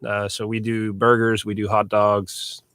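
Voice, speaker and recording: A man's voice: lowish voice, monotone, a little slow, a bit bright, clear, resonant tone, no echo. A man who owns a restaurant, a guest on a local radio show, answering casually and easily. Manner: easygoing, short agreeing replies. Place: small local radio studio.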